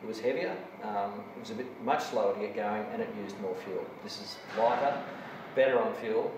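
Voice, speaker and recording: A middle-aged man with a fairly low voice talks through an outboard engine, pausing on frequent ums. He sounds distant from the camera in an echoey workshop. Steady background noise runs under him. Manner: hesitant, many ums, technical. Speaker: outboard mechanic. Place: indoor workshop beside a boat.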